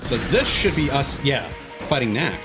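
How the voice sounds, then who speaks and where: A man's voice: medium pitch, very animated, moderate pace. Rambling male game streamer over music. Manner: rambling, thinking aloud. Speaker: video game livestreamer. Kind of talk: casual rambling gameplay stream commentary. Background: music behind the voice.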